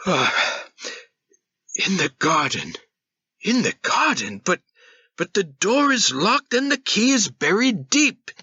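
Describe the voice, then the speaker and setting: An actor playing a weary, grieving widowed gentleman with a breathy, wistful voice. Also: high-pitched, sing-song, takes it slowly, pauses often, no room sound, muffled recording, full character voice. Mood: yearning.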